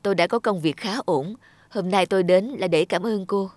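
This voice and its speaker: A woman voicing a humble, earnest former beggar man in a fairy-tale cartoon, speaking in a measured, polite way. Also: medium pitch, darkish tone, no echo, close to an everyday voice. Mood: earnest, grateful.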